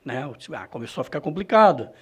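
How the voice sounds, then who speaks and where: A man answering interview questions about his own experience, in a halting, self-correcting way. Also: mid-range voice, very animated, fairly bright tone, slightly rough. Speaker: radio reporter and presenter being interviewed. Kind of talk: reflective interview answer, personal anecdotes.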